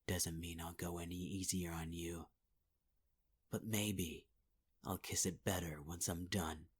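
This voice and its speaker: A man's voice: sits low, flat delivery, unhurried. A man performing a menacing, taunting villain in an ASMR audio roleplay, often half-whispering. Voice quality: husky, half-whispered delivery.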